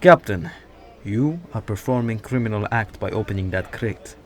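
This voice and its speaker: A man's voice: fairly low pitch, animated, normal speed. A man acting as a haughty, slippery smuggler in an audio drama, smug and placating with a raised voice. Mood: indignant, smug.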